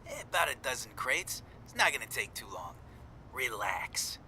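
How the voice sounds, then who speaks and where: Man playing brash, sharp-voiced gangster lieutenant. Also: medium pitch, sing-song, normal speed, a little hiss or hum. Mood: cocky, persuasive. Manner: brash, slangy, nonchalant. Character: cocky, trigger-happy male gangster lieutenant. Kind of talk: radio drama acting.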